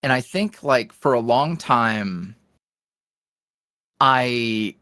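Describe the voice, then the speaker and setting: Male guest, animated pitch, chatty and rambling, dry close sound. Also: very slow, dry recording. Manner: rambling, lots of fillers and restarts. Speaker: guest, ASMR roleplay creator. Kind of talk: casual interview chat.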